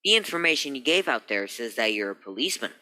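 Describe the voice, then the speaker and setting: Man playing a stiff, very bright-voiced attorney. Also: medium pitch, very animated, quick pace. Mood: guarded, puzzled. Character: stiff, proper male attorney, guarded and formal. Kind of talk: old-time radio crime drama acting.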